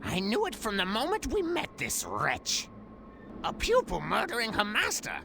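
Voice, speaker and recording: A woman's voice: mid-range voice, wildly swooping pitch, normal speed, creaky, fairly bright tone, slight background noise, heavily acted. An actress voicing a bitter, accusing female warrior in a game, full of scorn. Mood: scornful. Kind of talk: game cutscene voice acting.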